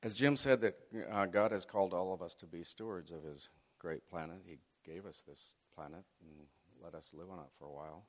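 A man's voice: low-pitched, fairly quick, hardly any pauses. A middle-aged man raised in Chile giving a folksy, faith-based talk to a student audience. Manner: folksy, leads the audience through an exercise. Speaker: guest speaker. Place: large hall. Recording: long hall reverb.

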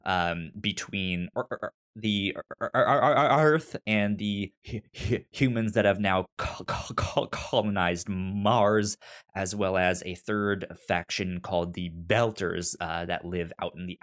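Male podcast co-host, deep-leaning voice, noticeable stutter on repeated syllables, informal and unhurried. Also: steady tone, fairly bright tone. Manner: halting, informal delivery. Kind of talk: casual chat recommending and discussing TV shows.

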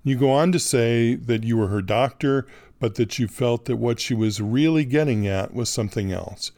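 A man hosting a podcast, moving between scripted narration and interviewing with deliberate, articulate phrasing. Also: mid-range voice, a little mellow, dry recording, studio quality. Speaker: podcast host.

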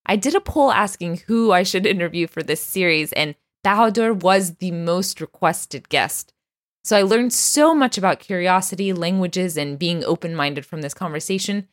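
A woman's voice: lowish voice, moderate pace. A woman hosting a podcast, reading an upbeat introduction and then chatting and laughing easily with her guest. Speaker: podcast host. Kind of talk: scripted intro then casual interview.

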